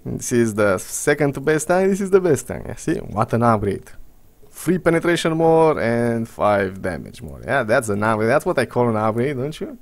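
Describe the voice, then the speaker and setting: A young Romanian man talks through a video game in English, his accent clear in every line. His commentary is loose and rambling, with blunt verdicts, a dry sarcastic streak and casual swearing. His voice sits at an ordinary middle pitch for a man. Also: sing-song, moderate pace. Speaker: gaming commentator.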